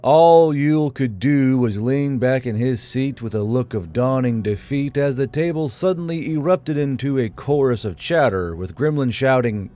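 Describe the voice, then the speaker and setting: A man's voice: medium pitch, lively intonation, normal speed, few pauses, warm tone. A man hosting and narrating a scripted story in audiobook style. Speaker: narrator and channel host.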